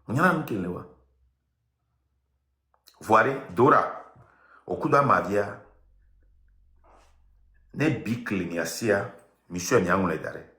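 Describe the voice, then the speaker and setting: A man's voice: lowish voice, animated, takes it slowly, long pauses, pushed and bright, echoey room. A man in his fifties from Togo, speaking French with a Togolese accent, giving a defiant, impassioned live-stream rant. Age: 50s. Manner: mixes French with local language, defiant and emphatic. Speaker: online activist host.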